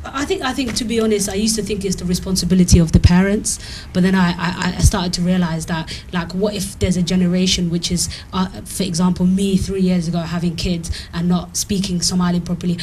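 Fast-talking young woman, code-switching. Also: lowish voice, steady tone, a little mellow, slight background noise, poor audio. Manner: fast, switches between English and Somali mid-sentence. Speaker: panel guest. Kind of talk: panel discussion. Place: TV studio, indoors. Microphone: handheld mic.

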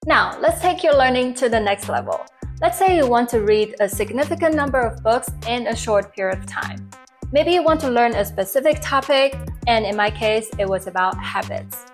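A woman's voice: a little high, expressive, moderate pace, soft background music. A young woman hosting an upbeat explainer video, talking to camera. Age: young adult. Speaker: tech tips video host. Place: living room, indoors.

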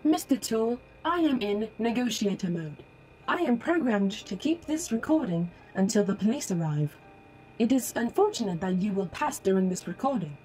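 Woman playing a high-pitched, formal female ad-bot. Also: mellow, slight background noise, rough recording. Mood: formal, polite. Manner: formal, precise, lecturing. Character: genderless holographic ad-bot, polite, formal, matter-of-fact female machine. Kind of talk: audio drama acting. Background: music.

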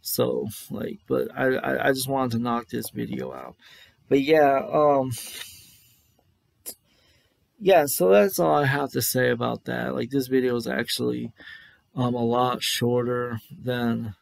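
A tired young man giving casual, rambling commentary to camera, halting and repeating himself as he searches for words. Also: mid-range voice, steady tone, creaky, dry recording. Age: young adult. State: tired, little sleep. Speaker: vlogger reviewing a book. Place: living room indoors.

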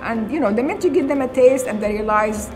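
Middle-aged woman, Syrian accent, chef, high-ish voice, earnest interview, music bed. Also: fast talker. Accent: Syrian Arabic. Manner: earnest, animated, with many "you know"s. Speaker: chef and restaurant owner. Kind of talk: documentary interview. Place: empty restaurant dining room. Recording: documentary interview with music underneath.

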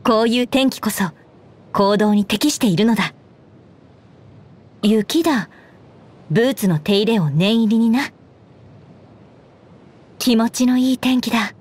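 A woman voicing an earnest, dutiful young knight commander in a Japanese game, speaking in a formal, measured way. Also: fairly high pitch, animated, a little mellow, breathy edge. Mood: composed. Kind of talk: Japanese game character voice lines. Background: background music.

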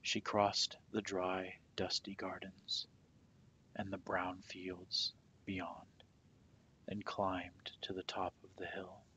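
Male storyteller, deep voice, very unhurried, dramatic picture-book narration, soft phrase endings, faint rumble behind. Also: completely flat delivery, lo-fi sound. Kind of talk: children's picture book read-aloud.

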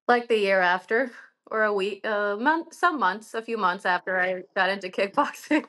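A woman's voice: mid-range voice, normal speed, bright, forceful tone. A woman in her twenties with a Norwegian accent, a guest on a video call, answering easily, laughing often and saying "like" a lot. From Norway. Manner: easygoing, laughs through answers, uses "like" a lot. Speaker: guest MMA fighter. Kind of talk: casual interview answers. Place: indoor room at home.

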